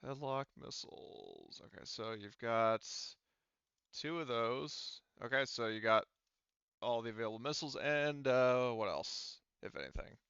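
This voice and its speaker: A man acting as game master in a casual tabletop roleplaying session, halting and thinking aloud as he checks the rules. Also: mid-range voice, expressive, a bit bright, clear, resonant tone, dry recording, highs cut off.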